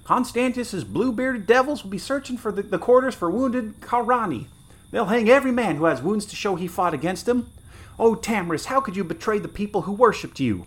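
A man's voice: high voice, animated, brisk, voice pushed up. Man doing a raised, anguished young country guardsman, wounded and furious. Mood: despairing. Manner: rustic country-boy delivery. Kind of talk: dramatic reading aloud.

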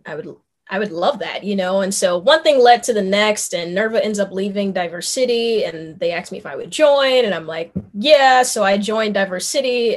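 A young woman from Memphis, a musician guest telling stories in a relaxed chat, with lots of ums and you knows. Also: mid-range voice. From Memphis, Tennessee, USA. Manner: chatty storyteller with many ums and you knows. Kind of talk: casual interview chat.

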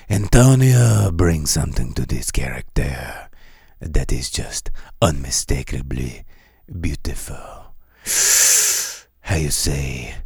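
A man's voice: fairly low pitch, smooth, dry recording, clean studio sound. A man in his thirties hosting a podcast, chatting casually and sarcastically about movies and reading out viewer comments, with lots of fillers and restarts. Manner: rambling, animated, sarcastic. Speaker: podcast host. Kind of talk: casual live-stream chat about movies.

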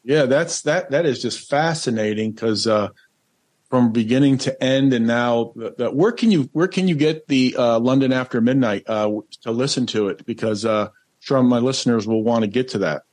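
A man with a medium-pitched voice hosts a casual interview. He speaks haltingly, repeating small words as he works toward what he wants to ask. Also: darkish tone. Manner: halting, repeats words while forming questions. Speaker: podcast interviewer.

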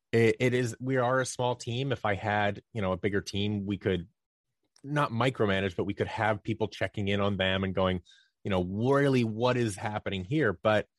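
Low-voiced man chatting, dry close sound. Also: dry recording. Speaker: podcast guest, audio drama creator and producer. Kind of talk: casual interview conversation.

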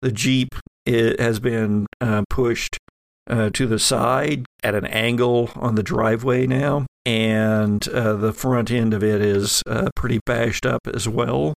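A man with a gravelly, creaky voice and an average pitch acts as game master for a horror tabletop game. He builds his descriptions piece by piece in a halting way, recorded close and dry. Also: steady tone, no room sound.

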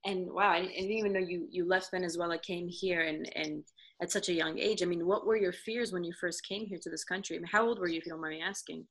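A woman interviewer speaks quickly in a slightly lower register. Her delivery is conversational and encouraging, full of affirmation for her guest. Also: steady tone, a bit bright, low-quality recording. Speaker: interviewer, host.

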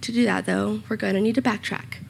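A young woman speaks with a slightly raspy, gravelly voice. She gives a short sermon in a church room, earnest and personal. A faint hiss sits behind her. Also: mid-range voice, steady tone, fairly quick. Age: young adult. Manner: earnest and personal, with small self-conscious asides. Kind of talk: sermon with scripture reading and prayer.

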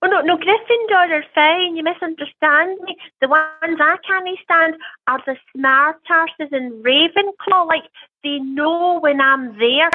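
A woman's voice: extremely high pitch, a little slow. A Scottish woman playing a fed-up, mischievous ghost in an improvised comedy sketch, blunt and sweary. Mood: disdainful. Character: fed-up, mischievous female Hogwarts ghost, centuries-weary. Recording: thin phone-like sound.

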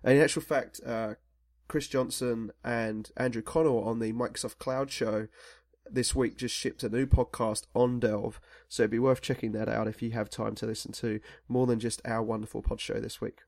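A man's voice: medium pitch, flat delivery, normal speed, creaky, darkish tone, no room sound, clean studio sound. A man hosting a tech podcast, talking in a rambling, casual way with many fillers and restarts. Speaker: podcast host.

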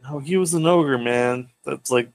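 A man's voice: mid-range voice, a little slow, dry recording. A man chatting casually as a podcast co-host in an insistent, plain-spoken way. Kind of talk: casual chat.

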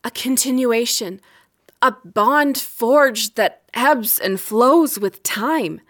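Slow, high-voiced wronged wife. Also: female voice, expressive, fairly bright tone, full character voice. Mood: solemn. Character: wronged, weary wife, grave and resolute. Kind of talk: audio drama acting.

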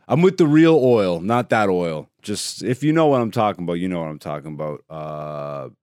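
A male comedian hosting a podcast alone, talking in a laid-back, profane way and laughing, with sudden loud excited bursts. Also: sits low, moderate pace, smooth, no echo, studio quality. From Greece. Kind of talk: casual solo podcast chat.

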